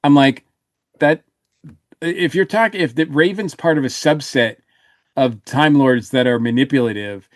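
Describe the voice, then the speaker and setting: A man's voice: mid-range voice, moderate pace, no room sound. Man chatting casually, very dry close sound. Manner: rambling, often trailing off and rephrasing. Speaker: podcast panelist reviewing audio dramas. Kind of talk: casual panel discussion.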